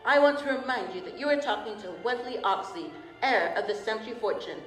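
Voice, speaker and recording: A woman playing a formal, fussy male family lawyer in a radio drama, speaking in a measured, proper way. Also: a little high, expressive, lightly acted. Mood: smooth, proper. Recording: echoey hall, faint music behind.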